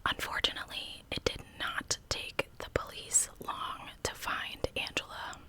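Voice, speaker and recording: A woman hosting an ASMR channel, narrating in a breathy, intimate whisper with casual asides. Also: unhurried. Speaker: host of a true crime ASMR channel.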